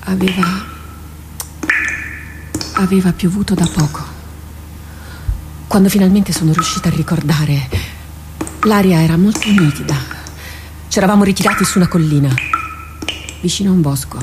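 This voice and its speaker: A woman playing a tormented female warrior captain in a radio drama, speaking gravely and haltingly, with introspective weight. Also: lowish voice, background noise, natural voice. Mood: haunted, remembering.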